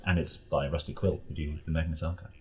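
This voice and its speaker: Super deep husky guy, mellow dark tone, casual interview guest chat. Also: steady tone, fast. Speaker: interview guest, audio drama creator.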